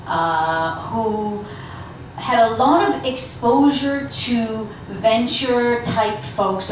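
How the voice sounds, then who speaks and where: A woman leads an engaging workshop talk and keeps turning questions back to her audience. She is recorded from well back in a large, very echoey lecture room. Steady background noise sits under her voice. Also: on the high side, distant mic. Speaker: guest speaker on elevator pitches. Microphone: handheld mic from a distance.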